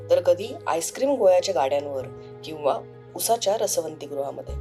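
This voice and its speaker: A woman narrating an educational film from a script in a measured, explanatory way. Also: medium pitch, warm tone. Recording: music under the voice.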